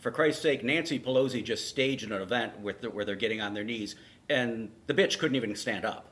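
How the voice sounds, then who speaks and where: A man's voice: mid-range voice, fairly quick, fairly bright tone. A man co-hosting a call-in show, talking bluntly and casually with firm political opinions. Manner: blunt, agreeing firmly. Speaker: talk show co-host taking calls.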